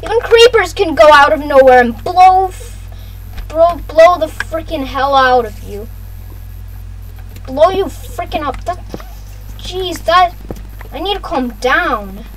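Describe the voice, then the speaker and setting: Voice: sits high, lively intonation, slow pace, long pauses, rough recording. A gaming video host giving casual play-by-play, repeating phrases and talking to viewers. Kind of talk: casual gameplay commentary.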